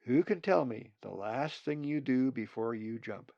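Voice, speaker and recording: A man's voice: mid-range voice, expressive, unhurried, no echo, muffled recording. A man voicing a kindly male raven who patiently teaches and encourages the young in a storybook. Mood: patient teaching. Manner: clear instructing, encouraging.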